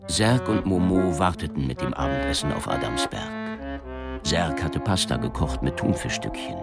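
A man's voice: extremely low pitch, expressive, normal speed, loud music behind the voice. A man narrating a crime story as the narrator of a radio drama.

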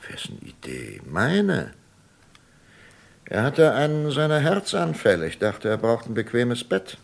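An actor playing a hunted political defector in an old radio crime drama, swinging between muttering and emphatic speech. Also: male voice, medium pitch, huge pitch swings, a little slow, creaky, heavily acted. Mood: defensive. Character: weary male political defector, target of assassination. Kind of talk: 1970s German radio crime drama acting.

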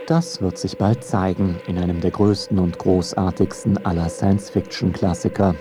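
A man narrating a scripted radio feature in a measured, wry manner. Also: deep voice, steady tone. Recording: studio narration over music bed.

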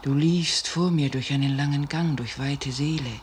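An actress playing a devoted, foreboding noblewoman with hushed, solemn delivery in 1950s radio drama style. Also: deep voice, fairly even intonation, normal speed, close to an everyday voice. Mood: haunted. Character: fearful, devoted, foreboding noblewoman, resolute in dread. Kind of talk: 1950s German radio drama stage acting. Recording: noisy 1950s radio recording.

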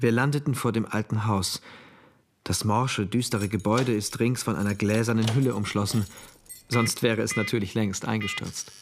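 An actor playing a rigid, troubled man from a regimented future, narrating a literary radio play in a deliberate, brooding, precise way. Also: lowish voice, fairly even intonation, very noisy, natural voice. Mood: brooding, tense. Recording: music behind the voice.

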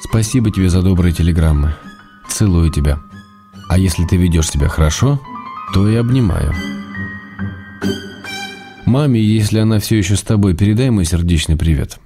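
An actor playing an affectionate, playful middle-aged husband, a writer reading his letters in an intimate, measured way for a radio drama. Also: low-pitched. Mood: playfully stern. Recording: loud music behind the voice.

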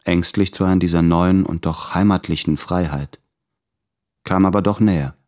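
A man acts out a tormented male letter writer in a radio play. His voice is low, and he confesses in measured, introspective phrases. He sounds anxious and self-abasing, yet tender. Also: a little mellow, lightly acted. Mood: confessional, wistful. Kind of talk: intimate literary radio play monologue.

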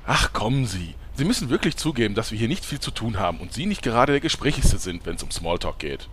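A man's voice: on the low side, big pitch swings, fast talker, a bit bright, slight background noise. Nagging chirpy sidekick, male actor, audio comedy: hugely varied intonation, pestering, theatrical. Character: relentlessly chatty, annoyingly cheerful sidekick. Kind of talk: German comedy audio drama acting.

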